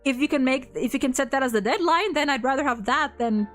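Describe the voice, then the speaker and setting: A woman with a fairly high voice talks casually as a livestream host. She is chatty and excitable and swears freely, with faint music playing behind her. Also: quick pace. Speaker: streamer host.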